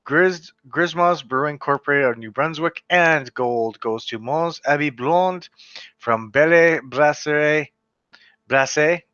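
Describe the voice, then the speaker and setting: A man from western Canada talks through a list of beer awards in a loose, conversational way, with a bright, forward voice. He stumbles over unfamiliar brand names as he goes. The recording is dry and slightly dull. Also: on the high side, measured pace, smooth, no room sound. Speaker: beer reviewer. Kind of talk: casual solo video commentary reading award results.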